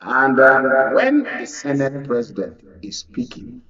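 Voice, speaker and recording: A Nigerian man giving unscripted political commentary as a guest analyst, explaining in a halting way. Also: medium pitch, huge pitch swings, a bit bright, far from the mic, highs cut off.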